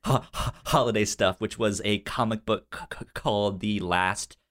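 A man co-hosting a podcast with casual film commentary, halting and stuttering on repeated sounds and words. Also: lowish voice, fairly bright tone. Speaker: podcast co-host.

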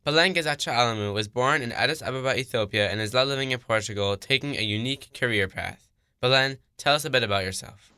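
A man's voice: medium pitch, fast, bright, forceful tone, clear, resonant tone. A man hosting a radio interview, asking short questions and giving brief prompts.

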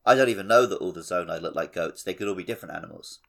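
A man's voice: deep voice, rapid-fire, creaky, a bit bright. A man co-hosting a gaming podcast, bantering casually in a dry, joking way. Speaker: podcast co-host. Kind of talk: casual banter about a video game.

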